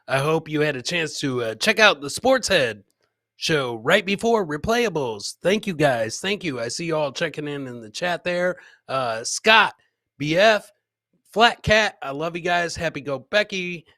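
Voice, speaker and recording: Bright-voiced man hosting a casual livestream. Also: a little high, lively intonation, measured pace, no echo, studio quality. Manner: jocular, profane, repeats phrases. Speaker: host of a live comedy clip show. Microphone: close podcast mic on a boom arm, headset.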